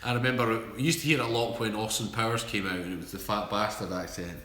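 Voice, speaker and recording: A Scottish man co-hosting a live stream, chatting casually with quick joking asides. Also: lowish voice, fairly even intonation, a bit bright, reverberant, a little hiss or hum. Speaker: livestream co-host.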